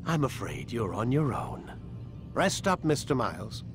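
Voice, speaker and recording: A man's voice: medium pitch, sing-song, measured pace, music in the background. An actor playing a smug, cold older scientist in a video game, talking down to his captive with clipped, mocking politeness. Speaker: villainous scientist in a game cutscene.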